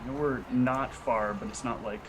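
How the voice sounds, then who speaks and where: A man with an average-pitched voice plays a tabletop roleplaying game. He improvises his part deliberately and in a businesslike manner. A little noise is behind him. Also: steady tone, low-quality recording.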